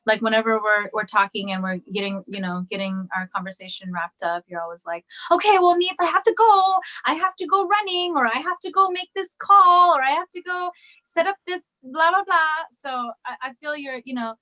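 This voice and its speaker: A woman's voice: high voice, expressive, moderate pace, sharp tone, poor audio. Woman guest singer, casual chat, hesitant with many fillers. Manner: hesitant, many ums and restarts.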